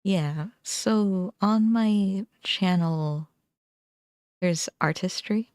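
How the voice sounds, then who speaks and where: A young adult woman chatting casually on a livestream, musing informally in short, halting phrases. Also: mid-range voice, a little mellow, clear, resonant tone, no room sound, clean studio sound. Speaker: game streamer. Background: background music.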